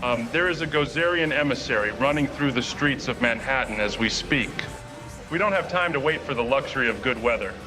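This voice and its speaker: An actor playing an earnest, brainy and anxious scientist in a motion comic, talking in precise technical terms. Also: male voice, medium pitch, a little fast, close to an everyday voice, rough recording. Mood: earnest, explaining. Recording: loud music behind.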